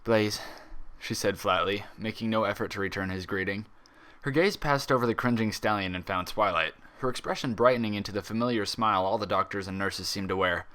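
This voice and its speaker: A man's voice: lowish voice, a little fast, bright, forceful tone. A man reading a story aloud as narrator, in a scripted, dramatic style. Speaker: fan fiction reader and narrator.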